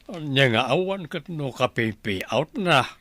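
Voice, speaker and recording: A man's voice: mid-range voice, animated, measured pace, a bit bright, no room sound. A man playing a helpful village farmer in an Ilocano radio drama. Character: helpful village farmer willing to lend money.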